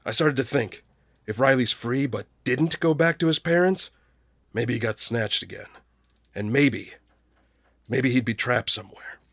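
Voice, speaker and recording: An actor playing a gruff, guilt-ridden middle-aged small-town American man in an audio drama, husky-voiced, blunt and folksy. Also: mid-range voice, unhurried, pauses often, a bit bright, no echo, clean studio sound. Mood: hesitant, confessing. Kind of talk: naturalistic audio drama acting.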